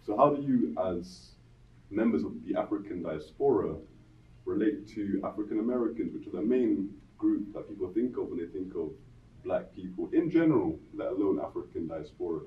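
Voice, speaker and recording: Male host, low and lively, steady probing questions, distant roomy dull sound. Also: normal speed, a little hiss or hum. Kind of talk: interview questions.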